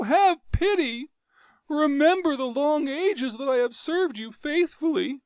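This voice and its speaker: A man voicing a cringing, terrified male courtier who whimpers and pleads in a shrill voice. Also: creaky, no echo, full character voice.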